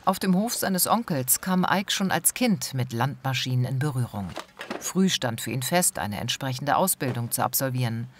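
A woman narrates a television report in an even, informative voice. The read is measured and unhurried, with a steady hiss under it. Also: extremely low pitch. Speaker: documentary narrator. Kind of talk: scripted voice-over narration.

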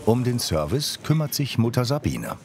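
A man reading scripted documentary voice-over in a measured, matter-of-fact way. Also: medium pitch, wildly swooping pitch, creaky, crowd noise. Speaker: documentary narrator.

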